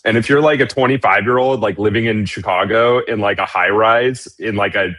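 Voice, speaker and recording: A man's voice: fairly low pitch, brisk, a bit bright. A man co-hosting a casual podcast, joking around and trading banter. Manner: joking, says "dude" a lot. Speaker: podcast co-host.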